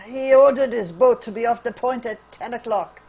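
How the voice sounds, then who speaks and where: A cowed French citizen, played by a woman in a radio drama table read. The voice is high. The delivery is nervous, reluctant and hesitant. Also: moderate pace, darkish tone, close to an everyday voice, rough recording. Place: remote virtual table read.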